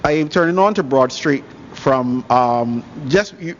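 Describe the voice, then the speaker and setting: A man, a radio cricket commentator telling a story in casual on-air chat, halting and repeating himself. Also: medium pitch, lively intonation. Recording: dull broadcast audio with faint hiss.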